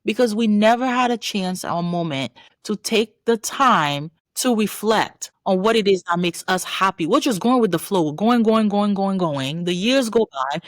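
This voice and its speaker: A woman physician guest from Haiti, telling her story in a relaxed interview chat and laughing easily. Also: mid-range voice, normal speed, no room sound, studio quality.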